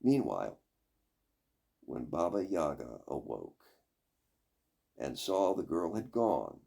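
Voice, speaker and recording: Older male storyteller: unhurried, very animated pitch, dramatic fairy-tale reading. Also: creaky, husky. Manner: dramatic, deliberate. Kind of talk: expressive scripted fairy-tale narration.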